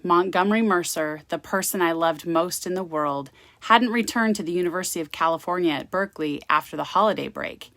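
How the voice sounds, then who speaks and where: Female author-narrator for gothic romance, voice a little low, very dry sound. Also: fairly quick, hardly any pauses, fairly bright tone, dry recording. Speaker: author reading aloud.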